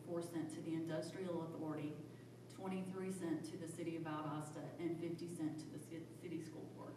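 Woman, finance officer presenting tax figures at a public hearing; methodical, fillers; recorded from far off in a noisy, echoey hall. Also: sits low. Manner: methodical, plain, frequent "um" fillers. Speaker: county finance director. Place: county commission meeting room. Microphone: room recording from far off.

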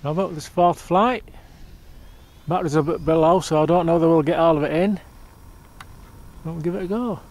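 A man's voice: fairly high pitch, brisk, long pauses, a little mellow. A man giving a cheerful running commentary while filming a friend on an open hillside. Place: open hillside outdoors in wind. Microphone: handheld camera mic. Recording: faint wind noise outdoors.

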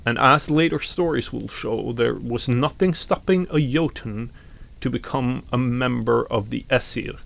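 Slow, gravelly-voiced Norwegian man. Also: medium pitch, fairly bright tone. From Norway. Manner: slow and deliberate, with pauses and some fillers. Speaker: podcast host. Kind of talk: reflective commentary on mythology.

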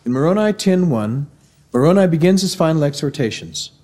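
A middle-aged man with a mellow, warm Missouri accent delivers a formal devotional address in a measured, earnest way. He speaks into a podium microphone in a large university auditorium. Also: a little high, lively intonation.

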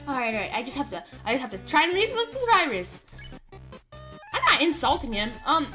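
Female game roleplayer: very high and bright, lively swings, stammering delivery. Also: fast, soft background music. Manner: hesitant, stammering. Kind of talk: in-character game roleplay commentary.

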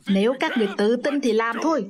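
A woman dubbing every character in a cartoon herself, reading the lines in quick, lively turns. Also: on the high side, a crowd in the background. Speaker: dubbing narrator voicing all cartoon characters. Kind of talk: single-voice cartoon dub. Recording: dub over loud music.

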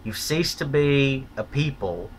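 A man's voice: mid-range voice, lively intonation, takes it slowly, slight background noise. A man delivering a blunt, profane, contemptuous opinion rant as a solo vlogger.

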